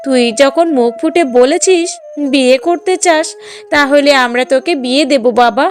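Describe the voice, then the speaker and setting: A woman's voice: sits high, moderate pace, faint music behind, lightly acted. A woman playing a middle-aged village mother in a cartoon drama, coaxing in a fretful, affectionate way. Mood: agreeable, inquisitive.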